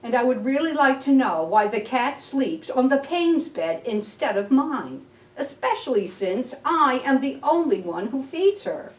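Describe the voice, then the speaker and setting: A woman reading a children's picture book aloud as a library storyteller, with expressive, deliberate delivery. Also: high-pitched. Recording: roomy echo, distant mic.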